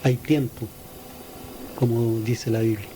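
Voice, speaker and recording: A man giving an informal spoken account of a personal experience as an interviewee, halting and repeating his words. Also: medium pitch, steady tone. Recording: noisy ambient field interview recording.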